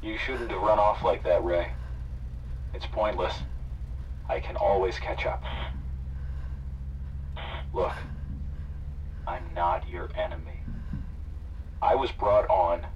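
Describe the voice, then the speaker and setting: A man's voice: low voice, fairly even intonation, slow, long pauses, lo-fi sound. An actor playing a menacing, coldly persuasive male pursuer who talks with insistent, condescending control in an audio drama. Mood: coldly accusing. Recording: distant, noisy vehicle radio.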